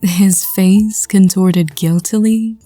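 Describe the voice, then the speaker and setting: A woman's voice: medium pitch, steady tone, slow, warm tone, dry recording, faint music behind. A woman narrating a fan audio drama and voicing the listener's part, stammering and laughing self-consciously.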